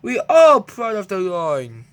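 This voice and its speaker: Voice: mid-range voice, sing-song, slow pace, slight background noise, pitched above the performer's own voice. A reader voicing a grumbling, teasing male steam engine in a children's storybook, with blunt, dismissive retorts. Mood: indignant.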